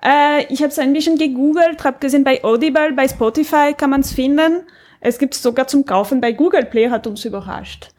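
A woman's voice: high-pitched, brisk, a little mellow. A woman talks as a podcast panelist. She chats casually through a review, in an informal and conversational way.

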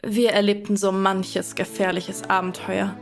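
An actress playing a medieval woman, quietly and sorrowfully narrating a long journey in a radio drama. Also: mid-range voice, flat delivery, measured pace, gravelly, music in the background.

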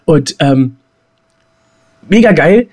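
A man's voice: fairly high pitch, animated, extremely slow, a little mellow. A man co-hosting a podcast, chatting casually about films in colloquial slang with some mild swearing. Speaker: podcast co-host. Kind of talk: casual chat, film talk.

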